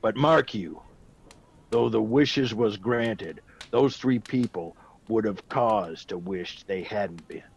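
Gravelly, low-voiced boastful old soldier. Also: male voice, takes it slowly, slight background noise. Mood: grave, ominous. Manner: rambling storyteller, colloquial and emphatic. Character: gruff, boastful old soldier back from India, fond of whiskey. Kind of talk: radio drama acting.

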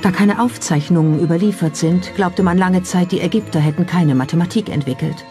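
Documentary narrator, medium pitch, scripted read with some music behind. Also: a little fast, warm tone.

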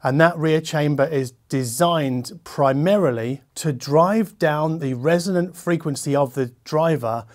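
A man in his forties with a slightly high voice, presenting a product review as the host. He talks casually and conversationally, leaning on key words and dropping in asides as he goes. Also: a little slow, few pauses, darkish tone. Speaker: host, audio equipment reviewer. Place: furnished living-room listening room, indoors.

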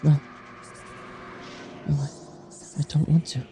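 A woman's voice: sits low, level tone, takes it slowly, dark tone, faint music behind. A woman playing a tormented, vulnerable person in an audio drama, pleading and emotional. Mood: broken, subdued.

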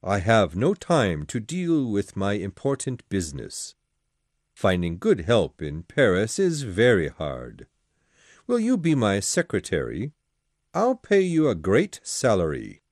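A man's voice: on the low side, huge pitch swings, unhurried, darkish tone, no room sound, full character voice. Bossy ambitious nobleman, man reading it, dry close voice. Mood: commanding. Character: proud, ambitious aristocrat man.

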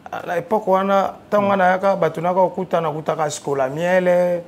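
A man's voice: high-pitched, a little fast. A man with a Congolese French accent, a studio interview guest talking casually and animatedly about his music career. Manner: animated storyteller, rising loud when excited. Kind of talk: casual interview talk, mixing French and Lingala. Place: TV studio, seated on a sofa.

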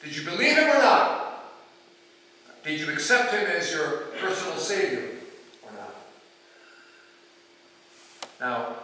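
An older man preaching a sermon as a pastor, measured and deliberate, in a church sanctuary. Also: mid-range voice, pushed and bright, slight background noise. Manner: measured, deliberate, with rhetorical questions and pauses. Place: large church sanctuary, indoors. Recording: very echoey church hall, distant microphone.

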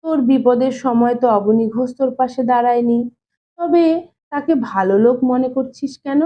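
A woman's voice: fairly high pitch, moderate pace, muffled recording, natural voice. A woman reading an audio story, voicing a shrewd older man who needles and questions his brother-in-law in a folksy way. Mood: knowing, probing. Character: shrewd, teasing older brother-in-law, male, gently probing.

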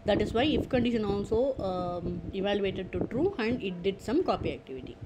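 A woman presents an informal software walkthrough at a medium pitch. She explains step by step, restating each point as she goes. The recording has clearly audible noise behind her voice. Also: sing-song, a little fast. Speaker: tutorial presenter. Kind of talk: informal screen-share software tutorial.

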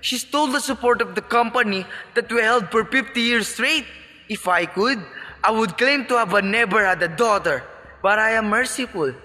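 A cold, pompous father acted by a man in a radio drama. His voice is fairly high and forceful, dripping with scorn. It rings with long reverb. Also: moderate pace. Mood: indignant. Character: cold, haughty, sexist father and company owner.

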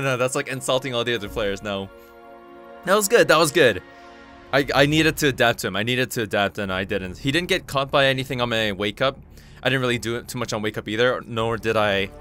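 Korean-native male gamer, mid pitch, excitable, swears a lot, faint game music behind. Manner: excitable, swears freely, talks to himself. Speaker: gaming channel host. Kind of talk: live gameplay commentary.

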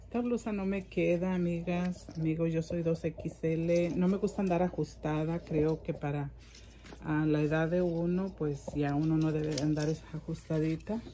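Low, slow-talking middle-aged woman. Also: dark tone, a little hiss or hum. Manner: rambling, repeats words and phrases. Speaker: vlogger. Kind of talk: casual gift-haul vlog chat. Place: indoors in a home room.

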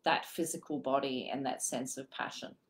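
A woman in her forties, a guest healer on a live video chat, explaining crystals and energy calmly. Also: a bass voice, normal speed. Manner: calm, flowing spiritual explanations. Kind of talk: live-streamed spiritual chat. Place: home room with a paper screen. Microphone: video call webcam.

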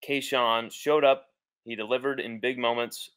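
A man's voice: medium pitch, steady tone, moderate pace, a bit bright, clear, resonant tone. A man in his thirties hosting a sports reaction show, speaking emphatically in choppy, repeated phrases. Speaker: host of a college football postgame show. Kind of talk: sports reaction commentary to webcam. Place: small living room, indoors.

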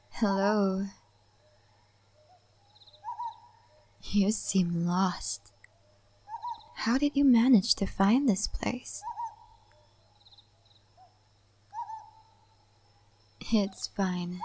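Woman acting a slow, whispery ancient spirit-realm woman. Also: medium pitch, a little hiss or hum, close to an everyday voice. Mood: gentle, knowing. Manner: soft, breathy, often whispering, unhurried. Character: ancient, wise, cryptic spirit-realm woman, youthful-looking, pipe-smoking. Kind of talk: soft ASMR roleplay.

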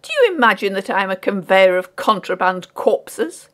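A woman voicing a proud, easily irritated educated young gentleman in a storybook, with lofty, ironic, formal diction. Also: on the high side, very animated, normal speed, voice pushed up. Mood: indignant. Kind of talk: audiobook character voice.

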